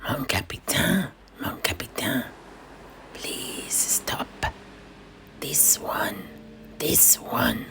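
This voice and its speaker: A man voicing a servile, ominous blind seer in a breathy, creaky whisper, halting and repeating himself. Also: medium pitch, huge pitch swings, voice pushed up, exaggerated voice. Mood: ominous pleading. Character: creepy, servile blind old seer with gnarled staff.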